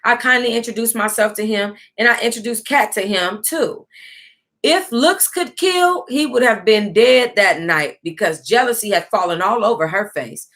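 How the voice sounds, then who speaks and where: An American woman hosting an online book club, reading aloud casually in an animated, salty-tongued way and breaking off to react. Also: mid-range voice, moderate pace. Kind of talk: casual livestream read-aloud with running reactions.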